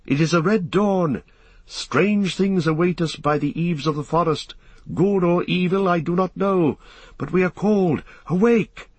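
Male narrator as keen-eyed elf, raised pitch, solemn archaic phrasing, foreboding, fantasy audiobook. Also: a little slow, fairly bright tone, breathy edge, no echo. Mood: urgent calling.

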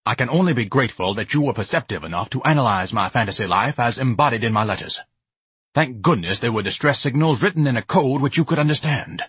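A man's voice: medium pitch, animated, quick pace, a bit bright, breathy edge, no echo. A man voicing a pompous, self-pitying, overweight grown man who talks in grand, melodramatic speeches.

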